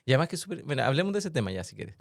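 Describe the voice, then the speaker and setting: A man hosting a podcast interview in a casual, chatty way, laughing often and making quick asides. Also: mid-range voice, very animated, gravelly, no room sound, clean studio sound. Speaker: podcast host and massage therapist.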